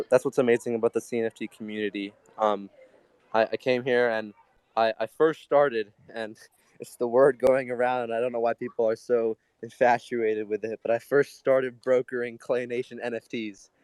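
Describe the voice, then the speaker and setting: A man's voice: mid-range voice, fairly even intonation, normal speed, a little mellow, clean tone, dry recording. A young man speaking as a guest in an informal group chat, earnest and grateful. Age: teens to young adult.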